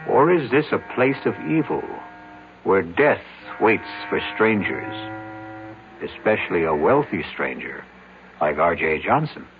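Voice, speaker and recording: A man's voice: low-pitched, sing-song, measured pace. A man hosting a radio mystery drama, narrating from a script in an ominous, theatrical manner. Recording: tinny old radio recording, music behind.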